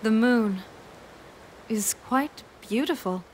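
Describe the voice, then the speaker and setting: A woman's voice: a little high, takes it slowly, slight background noise, rough recording. An actress playing a poised, dutiful young noblewoman of ancient China in a video game, speaking formally and courteously. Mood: soft, devoted. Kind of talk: dubbed video game cutscene acting.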